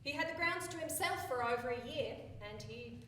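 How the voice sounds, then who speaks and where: High-voiced earnest devotee, very echoey. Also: female voice, a little fast, bright, forceful tone. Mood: explanatory. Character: earnest, chatty female devotee, Moonseed, showing a newcomer around. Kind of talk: live stage play acting. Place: indoor theatre stage. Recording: distant mic, very echoey noisy theatre.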